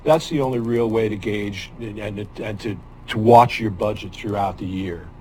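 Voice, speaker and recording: An older man, a former auditor, giving public comment at a meeting, methodically reading policy wording and pausing with frequent ums and restarts. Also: fairly low pitch, darkish tone, a little hiss or hum.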